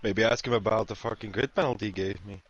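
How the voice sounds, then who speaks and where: A man's voice: lowish voice, fast talker. A man from Belgium, a racing driver, giving short, offhand answers about his race. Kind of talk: casual post-race chat. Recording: muffled voice chat with faint hiss.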